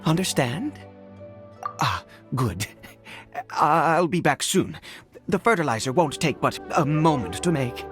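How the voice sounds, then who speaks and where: Halting, weary father, swinging pitch. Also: lively intonation, fairly bright tone. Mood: hesitant, businesslike. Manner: hesitant, halting, stammering. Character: weary, anxious, self-doubting ageing father in a fantasy world. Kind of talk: dramatic video game voice acting. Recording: background music under the voice.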